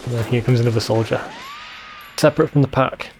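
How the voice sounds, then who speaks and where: A man's voice: medium pitch, steady tone, fast talker, a little mellow, a little hiss or hum. A man giving informal, sweary gaming commentary as a let's play host. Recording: faint game music behind voice. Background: game soundtrack.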